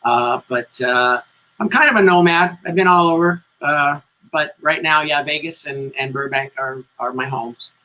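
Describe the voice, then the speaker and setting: A middle-aged American man with a bright, forward voice chats casually in an interview. He is talkative and self-deprecating. The sound is a little dull and lo-fi, as on a video call. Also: on the high side, moderate pace. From Connecticut, United States. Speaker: guest, tribute band singer. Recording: dull lo-fi audio.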